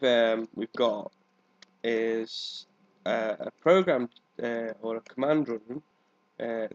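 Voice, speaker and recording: A man's voice: mid-range voice, a little mellow. Congested man casually narrating gameplay, dull audio. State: congested with a cold, coughing now and then. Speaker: gaming video host. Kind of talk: casual let's play commentary. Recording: dull, lo-fi microphone sound.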